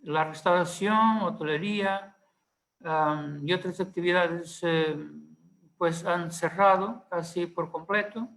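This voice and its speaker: A man's voice: high voice, fairly even intonation, takes it slowly, fairly bright tone, rough recording. A man speaking Spanish with a Portuguese accent, a panelist giving a conference talk, hesitant with many fillers and restarts.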